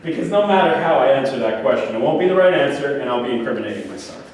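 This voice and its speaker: A man's voice: medium pitch, big pitch swings, fast, darkish tone, close to an everyday voice. Man acting defensive delusional patient, echoey distant recording. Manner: argumentative, rambling, self-justifying. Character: defensive, grandiose male psychiatric patient, flirtatious and delusional. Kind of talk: staged reading of a stage play. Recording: very echoey room, distant mic, faint noise.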